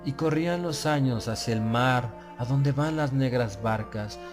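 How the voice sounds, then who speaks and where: A man's voice: medium pitch, measured pace. Solemn male horror narrator over prominent music. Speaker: audiobook narrator. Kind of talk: scripted narration of a gothic horror tale, in the first person. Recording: music bed under the voice.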